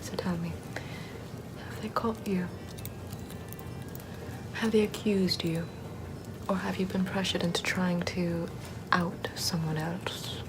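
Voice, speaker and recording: A woman playing a sardonic Puritan-era forest witch in a soft, rambling, mostly whispered ASMR roleplay. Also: on the low side, fairly even intonation, slow pace, long pauses, over music. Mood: wry, chatty. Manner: rambling, conversational, repeats words softly.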